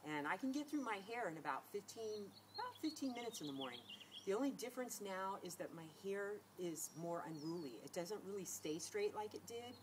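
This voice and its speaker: A woman's voice: medium pitch, animated, brisk. A middle-aged woman, nasal from a cold, chatting casually to camera and rambling with many fillers and restarts. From California. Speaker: beauty vlogger. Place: outdoors against a sunlit wall. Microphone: camera on a tripod. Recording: noisy outdoor camera audio.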